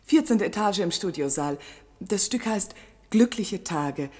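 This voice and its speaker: A woman playing a brisk, eagerly helpful hostess in a vintage science fiction radio play. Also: medium pitch, animated, a little mellow. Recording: music playing behind.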